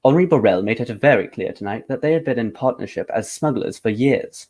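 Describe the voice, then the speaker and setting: A man playing a confident, methodical gentleman detective in an old-time radio drama, with a formal, theatrical delivery. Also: mid-range voice, lively intonation, brisk, husky. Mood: reflective.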